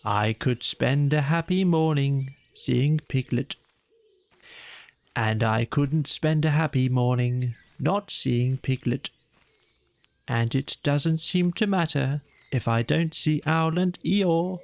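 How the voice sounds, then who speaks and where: A man doing a dreamy slow bear. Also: mid-range voice, creaky, darkish tone, dry recording. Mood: sing-song. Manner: dreamy, musing, sing-song. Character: gentle slow-witted male teddy bear.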